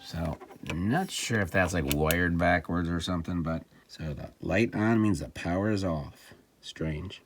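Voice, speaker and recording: A man giving a casual, off-the-cuff gadget demo, wry and excitable, talking to himself as he tinkers. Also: sits low, a little slow, hardly any pauses, background noise. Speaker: vintage electronics seller demonstrating a device. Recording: music playing behind the voice. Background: music from the player being tested.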